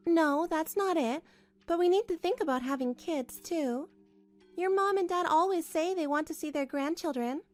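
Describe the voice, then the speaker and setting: A woman's voice: extremely high pitch, normal speed, faint music behind. A woman voicing a meek, polite young wife who speaks hesitantly and sounds hurt, in a manga drama. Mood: gently pleading.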